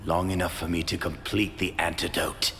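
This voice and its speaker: An actor playing a remorseful, obsessive middle-aged scientist in a video game, speaking in a halting, hushed, intense way. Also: male voice, low voice, little pitch movement, a little hiss or hum. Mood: intense, reassuring. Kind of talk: dramatic video game voice acting. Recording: long reverb, music behind.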